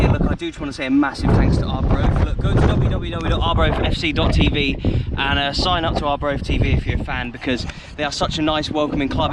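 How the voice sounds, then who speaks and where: A man presenting a casual football vlog, chatting to camera in an enthusiastic way. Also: fairly high pitch. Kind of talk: casual travel vlog chat. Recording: noisy outdoor recording with wind or traffic.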